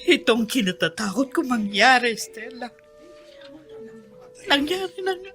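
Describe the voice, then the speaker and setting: A woman's voice: a little high, very animated, measured pace, fairly bright tone, soft background music, heavily acted. An actress playing an anguished, forgiving middle-aged mother in a Filipino radio drama, pleading emotionally with a Filipino accent. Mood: grieving. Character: anguished, forgiving mother worried for her wayward son.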